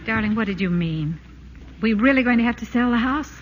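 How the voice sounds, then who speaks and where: A woman's voice: fairly high pitch, expressive, fairly quick, slight background noise, natural voice. An actress playing a flustered housewife who insists on her own innocence, in a 1940s radio play. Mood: pleading. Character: flustered, protesting female housewife. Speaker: wife. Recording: dull old radio broadcast.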